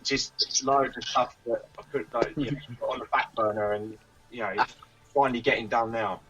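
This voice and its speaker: A man's voice: mid-range voice, fairly even intonation, normal speed, a loud crowd. A British man being interviewed, talking casually and a little hesitantly over a remote call. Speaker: band member interviewee. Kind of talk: casual chat. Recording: slightly dull remote call audio.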